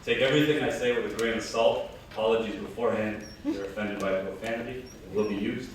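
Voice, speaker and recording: A man's voice: fairly low pitch, fast talker, distant mic, very noisy. A man in his thirties giving a casual, joking keynote talk to a live audience, telling his story in a large conference hall. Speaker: keynote speaker. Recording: very echoey hall, heard from afar.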